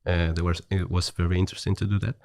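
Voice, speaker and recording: Deep-voiced Chilean man with Spanish accent. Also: level tone, quick pace, vocal fry, a little mellow, no room sound, studio quality. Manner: hesitant, restarts, laughs easily. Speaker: guest. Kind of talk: casual conversation.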